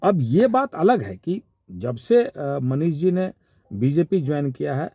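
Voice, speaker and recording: Mellow, dark-voiced Bihari man commenting. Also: on the high side, very animated, measured pace, no echo, studio quality. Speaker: commentator giving his analysis of a viral call. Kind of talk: informal spoken commentary.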